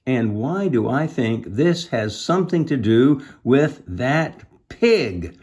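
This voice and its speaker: A man's voice: medium pitch, big pitch swings, slow pace, darkish tone, voice pushed up. A man voicing an indignant, grumbling woman neighbor from a children's storybook, speaking in an emphatic, exasperated way. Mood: accusing.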